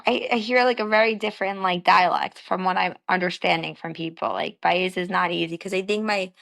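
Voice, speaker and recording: A woman's voice: on the low side, fairly even intonation, fairly quick, creaky, a bit bright. A young woman from the Bronx with a New York accent, rambling casually as an interview guest and filling her talk with "like". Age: young adult. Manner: rambling, many fillers like "like".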